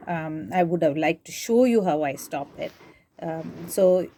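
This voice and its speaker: Female tutorial presenter, slow and very mellow, unscripted, thinking aloud step by step. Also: low voice, animated, slight background noise. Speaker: tutorial presenter demonstrating tape digitizing. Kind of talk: informal unscripted how-to demonstration.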